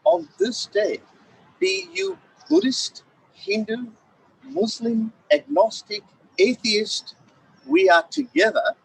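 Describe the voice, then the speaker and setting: A man delivers a rhetorical address, stressing and repeating his key phrases. His voice is high and animated, with a gravelly rasp. The mic sits some way off. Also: a little hiss or hum. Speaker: speaker at a commemorative event. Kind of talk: formal speech. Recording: voice heard from a distance.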